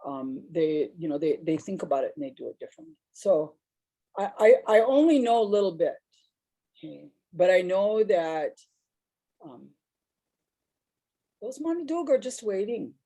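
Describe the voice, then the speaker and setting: A woman's voice: lowish voice, lively intonation, moderate pace, long pauses, warm tone. A woman with a big voice, telling personal stories on a discussion panel in a reflective, rambling way.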